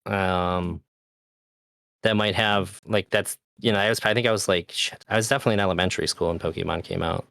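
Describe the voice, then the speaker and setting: Man, 30s, low smooth voice, dry close sound, relaxed gamer chat. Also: a little fast, dry recording. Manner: loose and chatty, swears casually. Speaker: podcast host. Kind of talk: casual chat.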